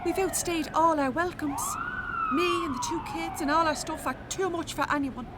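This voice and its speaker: A woman's voice: very high voice, moderate pace, very noisy. A woman playing a weary, exasperated Irish mother made homeless with her children, sardonic and colloquial, in a radio drama. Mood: bitter, desperate.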